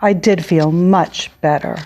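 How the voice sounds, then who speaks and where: Woman reading as excitable little storybook girl, low-voiced. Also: unhurried, lightly acted. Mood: cheerful, recounting. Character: excitable six-year-old girl, happy but quick to anger. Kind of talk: picture-book read-aloud.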